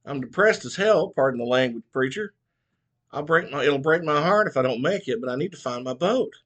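A man's voice: fairly high pitch, expressive, brisk, a bit bright. A man voicing a skinny, flustered trailer-park man, whiny and worked up.

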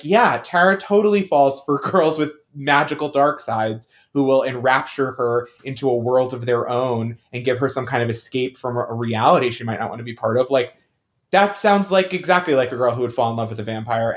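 A man's voice: mid-range voice, a little fast. A man co-hosting a podcast, chatting casually about pop culture in an emphatic, joking way. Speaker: podcast co-host.

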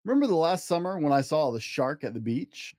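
A man's voice: a little high, very animated, a little fast, dark tone, no room sound, clean studio sound. Man putting on a stubborn, swooping little girl. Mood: insistent. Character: stubborn, dramatic little sister who wants glasses.

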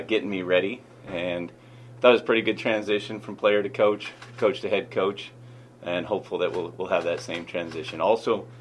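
A man, a football head coach and manager, answering in an interview clip with halting speech and frequent restarts. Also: low voice, a bit bright, slight background noise. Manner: halting, many fillers and restarts.

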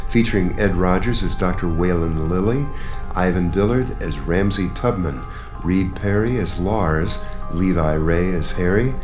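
Male announcer: very low voice, scripted radio-theater sign-off, roomy sound over clear guitar music.

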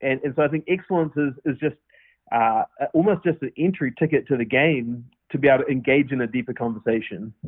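A man's voice: medium pitch, brisk, husky, no room sound. A man with a New Zealand accent, a podcast guest answering earnestly with many fillers and restarts. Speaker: podcast guest, company founder. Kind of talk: casual interview answers. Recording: tinny phone-line audio.

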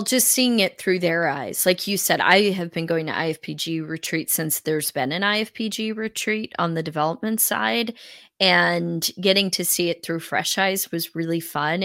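Woman, podcast co-host, cheerful, somewhat low voice, organized explainer, dry close mic. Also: fairly bright tone, no room sound. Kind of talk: casual business podcast chat.

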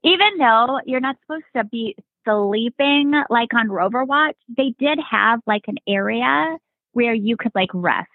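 A woman's voice: fairly high pitch, animated, measured pace, no echo. Woman on a tinny, dry phone line. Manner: rambling, fills with "like" and "right?". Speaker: podcast guest, Navy veteran. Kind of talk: casual storytelling chat.

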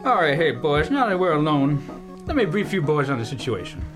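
A man acting a pompous, folksy older general who runs a pizza place, halting and self-important, in a comic radio play. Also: a little high, big pitch swings, poor audio. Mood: confiding, solemn. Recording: music under the dialogue.

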